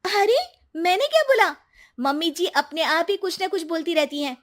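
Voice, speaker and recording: High-pitched, sarcastic young daughter-in-law, played by a woman. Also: moderate pace. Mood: indignant. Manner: sarcastic, back-talking, expressive. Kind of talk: acted Hindi family story cartoon.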